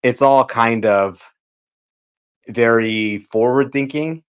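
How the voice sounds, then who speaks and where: A man's voice: mid-range voice, takes it slowly, clean tone. A man speaking as a panel guest, explaining things casually in plain terms.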